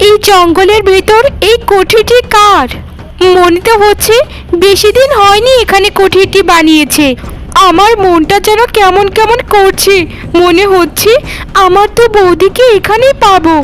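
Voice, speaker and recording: A woman's voice: very high-pitched, normal speed, poor audio. A woman voicing a guilt-ridden, pleading young village sister in a melodramatic cartoon, with a plaintive delivery. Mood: uneasy searching. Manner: plaintive, lamenting. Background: faint music.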